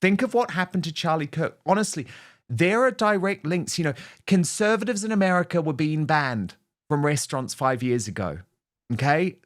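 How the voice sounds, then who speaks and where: A man's voice: on the high side, lively intonation, moderate pace. A man hosting an online talk show, giving emphatic, indignant commentary and reading out messages.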